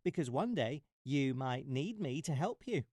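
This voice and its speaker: A man voicing a tiny, bold male mouse in a high, squeaky voice. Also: lively intonation, measured pace, darkish tone, no echo, studio quality. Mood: defiant.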